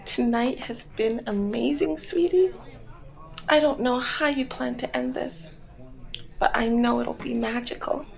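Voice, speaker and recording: A woman's voice: a little high, measured pace, vocal fry, low-quality recording. A woman playing a flirty, commanding female mob boss in an ASMR roleplay, talking in a teasing, coy and controlled way. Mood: gushing, lovey-dovey. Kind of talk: ASMR roleplay, scripted one-sided dialogue.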